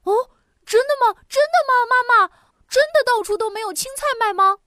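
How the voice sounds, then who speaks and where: A woman voicing a small whiny child in a storybook, with a squeaky, drawn-out, wailing delivery. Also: pushed and bright, no room sound, studio quality. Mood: excited. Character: whiny little child who hates vegetables. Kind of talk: storybook voice acting.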